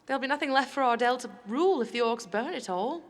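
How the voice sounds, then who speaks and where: A fairly high female voice rings with long reverb. It is an earnest, questioning confidante played by an actress in a fantasy radio drama, speaking formally. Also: expressive, quick pace. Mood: earnest, doubtful.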